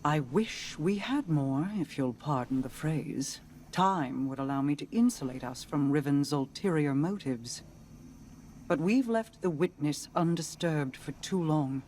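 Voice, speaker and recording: An actress voicing a regal, calculating queen in a video game, speaking in a measured, commanding way. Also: low-pitched, expressive, darkish tone, slight background noise. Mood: grave.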